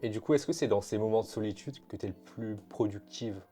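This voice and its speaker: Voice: mid-range voice, fairly quick, warm tone, soft background music. A podcast host asking casual, rambling questions in an interview, with lots of fillers.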